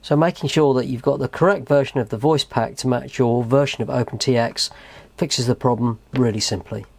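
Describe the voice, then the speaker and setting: A man's voice: mid-range voice, a little fast, vocal fry, darkish tone. A man presenting a how-to tutorial in a methodical, explanatory way. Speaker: host of a tutorial channel.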